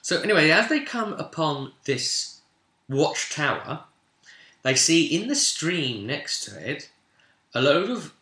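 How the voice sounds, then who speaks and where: A man with a bright, forceful voice of medium pitch recaps a tabletop fantasy campaign as game master. He tells it informally and with animation, slipping in casual asides. The recording has an echoey room sound.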